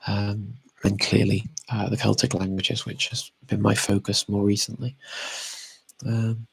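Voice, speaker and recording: A man's voice: on the low side, level tone, a little slow, vocal fry, husky, no echo, rough recording. A man chatting casually and unscripted as he answers audience questions as a language expert, often hesitating and correcting himself as he thinks aloud.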